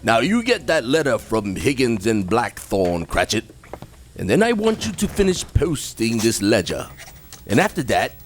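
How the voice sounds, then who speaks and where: A man's voice: mid-range voice, a little hiss or hum. An actor playing a grim, miserly, cantankerous old man who snaps and grumbles scornfully in a radio play. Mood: stern, demanding.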